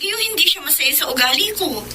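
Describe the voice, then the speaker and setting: A woman's voice: high voice, animated, fast. An actress playing a stubborn, flippant young woman in a Filipino radio drama. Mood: stubborn, defensive. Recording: noisy radio drama recording.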